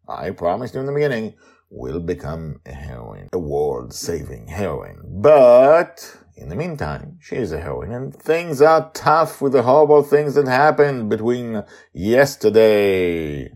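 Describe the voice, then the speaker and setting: A man hosting and narrating a fiction podcast with animated, swooping emphasis. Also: fairly low pitch, gravelly. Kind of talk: storybook narration.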